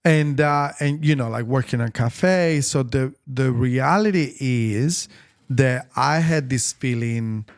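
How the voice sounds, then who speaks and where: A man's voice: medium pitch, slow pace. A man from Italy speaking English with an Italian accent, a tailor talking casually in an interview, with lots of restarts and fillers. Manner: rambling, many restarts and fillers, animated.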